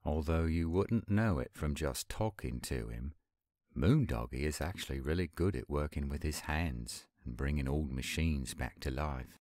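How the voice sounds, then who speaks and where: A man acting an earnest young werewolf pack leader in a roleplay audio drama, speaking in a measured way. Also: extremely low pitch, darkish tone, no echo, studio quality. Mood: earnest, hopeful.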